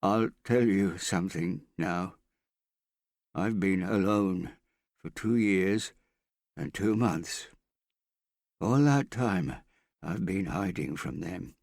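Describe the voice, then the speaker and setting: A male narrator plays a frightened, suspicious man who drinks and hides from the world. His voice rides high and urgent, pleading and easily roused to anger. It is audiobook character work, closely and dryly recorded. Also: slow pace, gravelly, pauses often, no echo, clean studio sound, exaggerated voice. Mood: secretive, frightened. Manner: agitated, suspicious, pleading, prone to shouting. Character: paranoid, shaky, hard-drinking frightened man in hiding.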